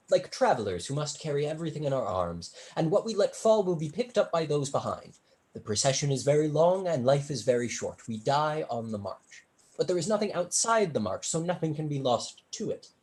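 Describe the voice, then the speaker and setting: A performer playing a witty young male tutor in a staged period play, speaking in a dry, measured, articulate way. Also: mid-range voice, a little mellow, natural voice.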